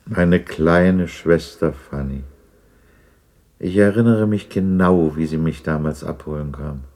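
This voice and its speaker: A man's voice: rumbling low, takes it slowly, a little mellow, heavily acted. A man acting a remorseful old miser moved by his memories in a 1960s German radio drama. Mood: wistful regret. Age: older.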